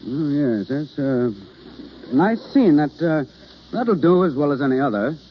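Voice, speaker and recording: Animated theatre coach, old radio sound. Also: male voice, a little high, a little slow. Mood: hesitant, polite. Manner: instructive, theatrical, hesitant with uhs. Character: male theatre understudy coaching a novice, impatient yet kindly. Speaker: actor in a radio play. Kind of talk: old-time radio drama acting. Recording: noisy, dull vintage radio recording.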